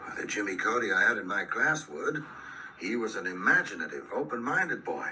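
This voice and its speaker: An actor playing an earnest, resolute older schoolteacher in a radio drama, with precise, formal phrasing. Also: male voice, fairly low pitch, sing-song, fairly quick, sharp tone, heavily acted. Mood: earnest, brisk. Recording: distant replayed radio drama, faint hiss.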